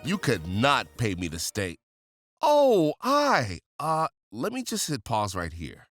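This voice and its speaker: A man's voice: mid-range voice, wildly swooping pitch, takes it slowly, a bit bright, faint music behind, heavily acted. An actor playing a chatty male podcast fan in a scripted promotional skit. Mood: excited, conspiratorial. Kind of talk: scripted in-show promo, acted.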